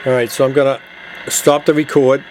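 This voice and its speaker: A man's voice: medium pitch, flat delivery, a little fast, background noise. A man giving a casual, plain-spoken hands-on product demonstration, explaining it step by step. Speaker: product demonstrator, CB radio gadget seller.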